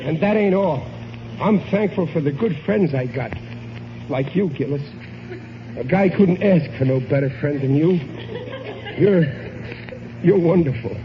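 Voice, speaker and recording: A man's voice: a little high, lively intonation, unhurried, pauses often. An American actor playing a sentimental, blustering, dim working-class family man with ungrammatical speech in a radio sitcom. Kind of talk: 1940s radio sitcom acting. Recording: noisy, muffled vintage radio broadcast.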